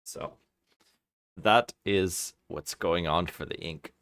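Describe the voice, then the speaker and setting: A man from Kazakhstan gives casual gear advice on a live game stream. His voice sits low, and the sound is dry, with very little room in it. He thinks aloud, often repeating a word before carrying on. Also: slow pace, a bit bright, no room sound.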